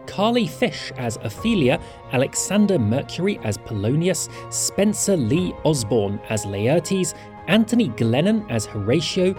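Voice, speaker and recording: Male podcast presenter, scripted credits read, lively intonation, prominent music bed. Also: fairly high pitch. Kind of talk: scripted credits and patron thanks. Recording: voice over clearly audible music.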